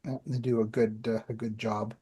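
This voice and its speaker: A man's voice: fairly low pitch, little pitch movement, normal speed, dark tone, slightly rough. A man with a Canadian accent, from the Ottawa area, chatting casually as a podcast co-host in a rambling, self-deprecating way. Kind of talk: casual chat, personal updates.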